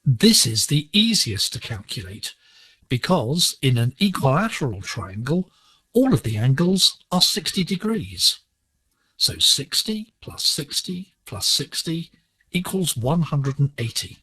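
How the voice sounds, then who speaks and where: A man presenting a scripted educational lesson on shortwave digital radio, explaining in a measured, deliberate way. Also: on the high side, big pitch swings, bright, forceful tone, husky, no echo, rough recording. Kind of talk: scripted instructional narration.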